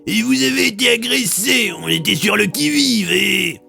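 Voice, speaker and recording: A man's voice: sits high, normal speed, creaky, bright, forceful tone, soft background music, full character voice. A man playing a blustering authoritarian colonel in an audio drama. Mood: cowed, defensive. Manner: barking, threatening. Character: blustering authoritarian colonel, meek before his superior.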